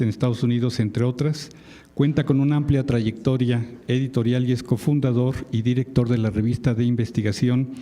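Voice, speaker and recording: A man's voice: mid-range voice, dark tone. A man moderating a panel, formally introducing the next speaker by reading out his credentials. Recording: long hall reverb.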